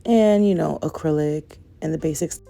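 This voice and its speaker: A young woman, a little raspy from a cold, chatting loosely through a nail tutorial and saying "like" and "guys" a lot. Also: low-pitched, normal speed, dark tone, faint music behind. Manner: rambling, says "like" and "guys" a lot. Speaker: nail vlogger. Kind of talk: casual chatty tutorial voice-over.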